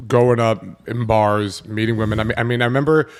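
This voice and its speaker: A man's voice: lowish voice, steady tone, brisk, clean tone. A man hosting a podcast, casually steering the guest with questions. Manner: asks leading questions. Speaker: podcast host.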